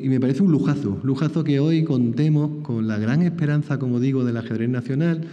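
A middle-aged man hosts a live chess talk from a table mic, chatty and full of repeated words and tag questions. His voice is very mellow and dark. The sound is dull and carries a long reverb from the small lecture hall. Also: mid-range voice. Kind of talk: live public interview.

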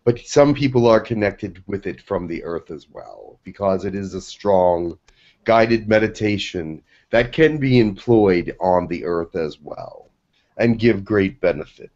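A man channels a being in deliberate, solemn statements. His voice sits low and moves slowly. The audio comes through dull and lo-fi, like an online video hangout. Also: animated, darkish tone. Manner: measured, formal pronouncements. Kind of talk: slow, solemn channeled speech.

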